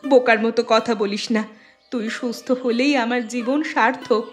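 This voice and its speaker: A woman's voice: a little high, steady tone, unhurried, faint music behind, lightly acted. A woman voicing a brave, devoted elder sister in a cartoon, earnest and determined. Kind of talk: cartoon voice acting.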